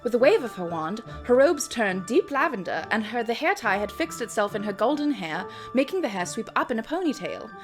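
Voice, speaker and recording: A woman's voice: on the high side, big pitch swings, a little fast, hardly any pauses. Theatrical, self-mocking woman reading over music. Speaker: reader and host. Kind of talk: dramatic reading of own fan fiction with wry asides.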